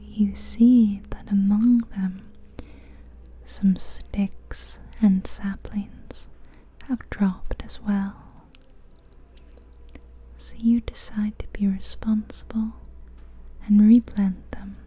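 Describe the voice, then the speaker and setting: A woman whispering gently in a breathy, soothing ASMR style, guiding a relaxing visualization with long unhurried pauses. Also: medium pitch, steady tone, poor audio. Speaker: ASMR guide narrating a guided visualization. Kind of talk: soft-spoken ASMR guided visualization. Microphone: close-up ear-to-ear ASMR microphone.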